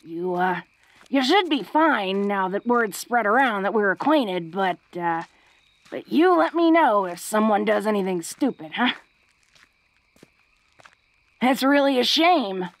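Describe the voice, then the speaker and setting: A woman's voice: medium pitch, expressive, a little slow, long pauses, dry recording. A woman playing a tough, flirty, secretly awkward female orc in an intimate romantic audio roleplay, speaking in a hesitant, folksy, teasing way. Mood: tender, teasing.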